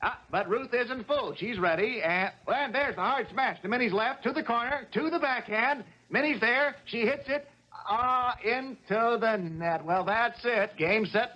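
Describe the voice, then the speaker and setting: An actor in a 1970s radio drama playing an excitable male sports broadcaster who stammers and repeats himself dramatically. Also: sits high. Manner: hesitant, repeating words. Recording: dull old radio broadcast recording.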